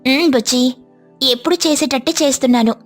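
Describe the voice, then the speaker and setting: A woman voicing a kindly, modest mother sparrow in a cartoon story, gentle and agreeable in manner. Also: high voice, a little fast, faint music behind. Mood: reassuring.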